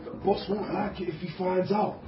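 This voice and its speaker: An actor as a flashy young dealer with a fairly high voice and a brash swagger. It is radio drama dialogue, slightly muffled, with music loud behind him. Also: male voice, level tone, normal speed, lightly acted. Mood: cocky. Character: flashy, cocky small-time drug dealer, a young man. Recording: dull audio under loud music.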